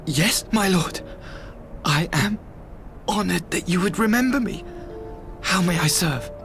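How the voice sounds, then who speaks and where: An earnest imperial retainer from a fantasy game. The voice sits fairly high for a male, formal and reverent, with servile hesitancy. Faint music plays underneath. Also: lively intonation, measured pace, fairly bright tone, exaggerated voice. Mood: deferential. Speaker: voice actor. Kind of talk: dramatic video game acting.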